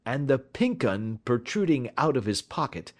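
A man voicing a shrewd, urbane gentleman detective, polite, precise and blandly self-assured, in a storybook reading. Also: mid-range voice, big pitch swings, normal speed, voice pushed up. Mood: amused, confident.

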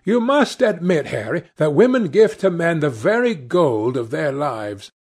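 An ardent young gentleman from a novel played by a male reader. His pitch sits high for a man and moves widely. He speaks with earnest, impetuous fervour, yet at an unhurried pace. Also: big pitch swings, full character voice. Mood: ardent protest. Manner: fervent, earnest. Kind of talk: audiobook character dialogue.

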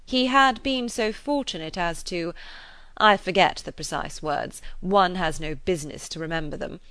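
A woman voicing a composed, wry, sensible gentleman in an audiobook, measured and matter-of-fact. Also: lowish voice, no room sound. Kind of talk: audiobook character voice.

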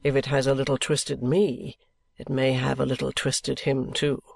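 Male actor as young gentleman, period novel dialogue: earnest, weary, evasive, creaky edge, very dry sound. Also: medium pitch, completely flat delivery, normal speed, dry recording. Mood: insistent. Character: restless young gentleman, affectionate but bitter and evasive. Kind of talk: acted dialogue in a classic novel audiobook.

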